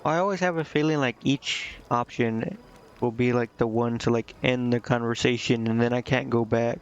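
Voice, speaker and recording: A man streams a game in a casual, rambling way, with a mid-pitched voice. He talks half to himself, hesitating as he goes. The microphone sounds a little dull and muffled. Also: steady tone, moderate pace. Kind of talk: casual gameplay commentary, reading game dialogue aloud.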